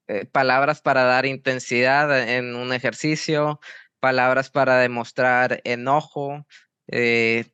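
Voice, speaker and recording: Halting young coach, smooth voice. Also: male voice, medium pitch, bright, forceful tone, dry recording. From Mexico. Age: young adult. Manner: halting, many restarts and stammers. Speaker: guest, football coach. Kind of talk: interview answers. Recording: dry, slightly dull sound.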